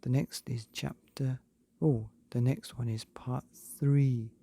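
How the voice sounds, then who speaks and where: Slow, gravelly, mellow male storyteller. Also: medium pitch, steady tone, dry recording. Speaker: narrator of a bedtime stories podcast. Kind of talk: scripted storybook narration.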